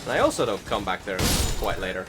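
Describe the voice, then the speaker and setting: A man's voice: fairly low pitch, very animated, fast talker, heavy background noise. A man giving casual voiced-over commentary on his video game playthrough, rambling along in a self-deprecating way. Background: music behind the voice.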